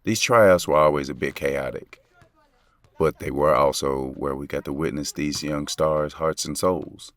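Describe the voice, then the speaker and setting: A very deep-voiced American young male basketball coach, lovestruck and full of regret. He talks straight to the listener in a slangy, intimate, confessional way, as in an audio drama, with music underneath. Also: no room sound, clean studio sound. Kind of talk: first-person audio drama narration, conversational.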